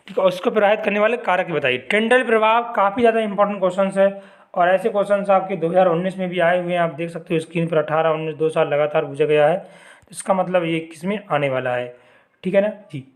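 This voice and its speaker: A young man with a high voice teaches a chemistry lesson. His throat is sore and he clears it often. He keeps asking his listeners a question and then answering it himself, and he adds a polite "ji" to his sentences. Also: fairly bright tone. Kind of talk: exam-prep lesson explaining diagrams.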